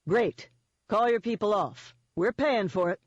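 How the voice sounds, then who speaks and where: A woman's voice: medium pitch, expressive, moderate pace, dry recording, dull audio, pitched above the performer's own voice, natural voice. A woman voicing a smug, gloating man in an audiobook, cheerfully taunting and firmly in control. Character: smug, gloating man wheeling and dealing by phone.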